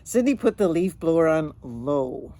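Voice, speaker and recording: A woman's voice: deep voice, sing-song, unhurried, mellow, dry recording. A woman reading a children's picture book aloud with chatty asides, stretching words and sound effects expressively.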